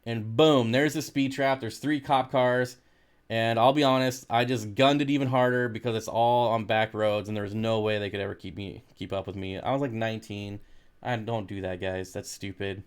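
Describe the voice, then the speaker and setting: A man's voice: lowish voice, fairly even intonation, moderate pace, clear, resonant tone. Laid-back American man chatting casually. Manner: laid-back, jokey, laughs readily. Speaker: livestream host reading and answering viewer comments. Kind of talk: casual livestream chat.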